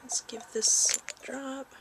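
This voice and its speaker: A soft-spoken woman who often slips into a whisper, playing a gentle, reassuring doctor in an ASMR medical exam roleplay. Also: high-pitched, level tone, slow. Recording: clearly noisy amateur recording.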